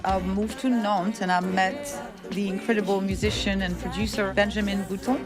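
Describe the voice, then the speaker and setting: A middle-aged woman telling their own story as a musician in a personal documentary narration. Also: mid-range voice. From France, of Tunisian background. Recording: music playing under the voice.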